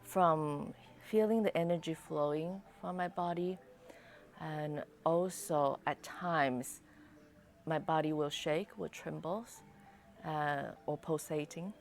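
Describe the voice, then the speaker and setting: A woman with a low voice gives a personal testimonial at an unhurried pace. Her delivery halts and stretches out between phrases. Soft music plays faintly behind her. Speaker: client giving a testimonial.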